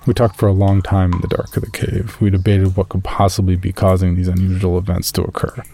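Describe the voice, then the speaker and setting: Man acting as weary caver, deep gravelly voice, mellow delivery, uneasy horror journal narration. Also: completely flat delivery, brisk, a little hiss or hum. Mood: measured, uneasy. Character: weary male amateur caver recounting his journal, uneasy. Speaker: narrator of an audio horror story. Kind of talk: first-person horror story narration, read as a journal.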